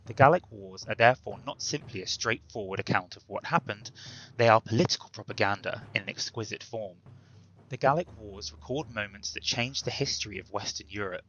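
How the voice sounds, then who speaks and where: A man's voice: fairly low pitch, a little fast, fairly bright tone, breathy edge, highs cut off. A man delivering a scripted history introduction in a formal, emphatic, lecturing way. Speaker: presenter introducing a dramatic reading.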